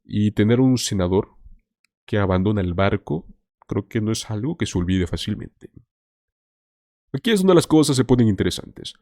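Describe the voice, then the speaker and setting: A man's voice: on the low side, expressive, moderate pace, pauses often, warm tone, dry recording, clean studio sound. A man hosting a political commentary show, talking to viewers in an emphatic, scripted way. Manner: emphatic, addresses viewers as "señores".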